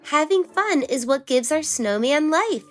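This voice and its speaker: A woman's voice: sits high, animated, unhurried, soft background music, pitched above the performer's own voice. A woman voicing a proud big-sister girl who teaches in a confident, instructive manner. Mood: teaching.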